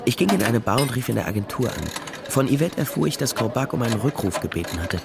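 An actor playing a wry, laconic private detective in a German radio crime drama, narrating and talking dryly. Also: male voice, mid-range voice, big pitch swings, fast, vocal fry, over loud music. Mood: dry narration. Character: Nestor, wry, dogged male private detective. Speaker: detective narrator and lead character.

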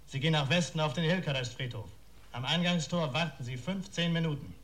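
An actor playing a menacing, bullying blackmailer in a German radio crime drama, barking curt orders and insults. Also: male voice, a little high, fairly quick, fairly bright tone, natural voice.

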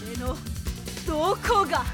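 An actress playing a possessed, hysterical school doctor in an audio drama, shrill, mocking and menacing. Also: female voice, expressive, unhurried, over loud music. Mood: crazed, menacing. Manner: shrill cackling, mocking outbursts. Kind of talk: theatrical Japanese audio drama acting.

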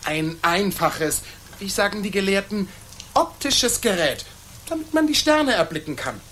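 A woman's voice: mid-range voice, animated, a little slow, a bit bright, a little hiss or hum. A woman playing a haughty, impatient fifteen-year-old boy emperor who talks down to everyone in a historical radio play. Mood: commanding. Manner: imperious, condescending.

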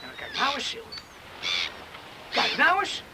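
An actor playing an eager, wondering young farm lad from the coast in a radio drama. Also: male voice, extremely high pitch, wildly swooping pitch, takes it slowly, exaggerated voice. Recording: very noisy old radio play recording.

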